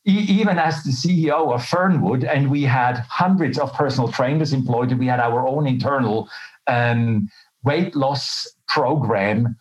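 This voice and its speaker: A middle-aged man who grew up in Switzerland speaks as an interview guest at a medium pitch. He tells personal stories in a candid, colloquial way and makes fun of himself. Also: unhurried, rough recording. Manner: self-deprecating, colloquial, candid. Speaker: interview guest, health professional. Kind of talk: conversational personal storytelling.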